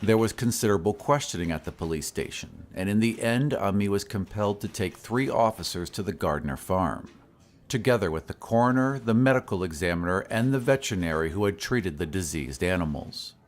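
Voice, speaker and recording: A man giving a grave, measured narration of a scripted horror story in an audio drama. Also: low-pitched, expressive.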